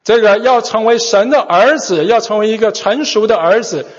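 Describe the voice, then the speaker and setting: Male sermon interpreter, very high voice, husky, halting delivery, echoing hall, muffled sound. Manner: hesitant, many fillers and restarts while interpreting. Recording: dull recording in a large echoing hall.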